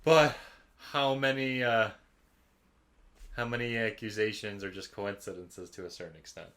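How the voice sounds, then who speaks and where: Young adult male co-host, sharp lowish voice, casual joking chat. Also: fairly even intonation, moderate pace. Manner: joking, informal. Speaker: podcast co-host.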